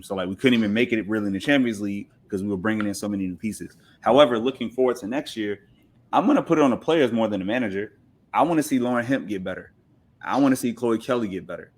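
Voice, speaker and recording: A man talking casually and with strong opinions as a podcast guest, making emphatic points with rhetorical questions and repeats. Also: lowish voice. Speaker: podcast guest, football pundit. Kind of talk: opinionated casual sports talk.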